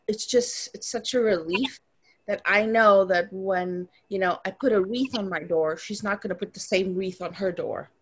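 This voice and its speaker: A woman tells her story to friends with animation and a touch of exasperation. Her pitch is ordinary for a woman. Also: normal speed, slightly rough. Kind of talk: casual group chat.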